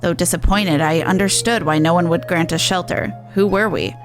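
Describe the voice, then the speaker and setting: A woman playing a gentle, grateful female refugee, reading game dialogue in a polite, earnest and formal way. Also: fairly low pitch, moderate pace, music in the background, close to an everyday voice.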